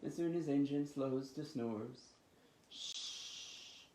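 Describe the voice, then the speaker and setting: A man hosting storytime for young children, with an expressive, sing-song delivery. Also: medium pitch, slow. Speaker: children's library storytime host. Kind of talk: reading picture books and leading finger rhymes. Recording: roomy echo with faint background noise.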